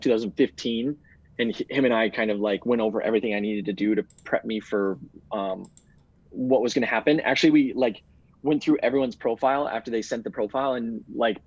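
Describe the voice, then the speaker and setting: A man's voice: medium pitch, husky, no room sound, muffled recording. A man answering interview questions in a rambling way, filling his sentences with "like". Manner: rambling, heavy use of "like". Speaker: guest, bootcamp graduate.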